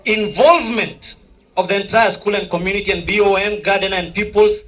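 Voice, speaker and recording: A man's voice: high-pitched, brisk, a bit bright. A man with a Kenyan accent announcing as master of ceremonies at a live awards event, exhorting and repeating himself. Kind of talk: live event announcing, switching between reading and hyping up. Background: music.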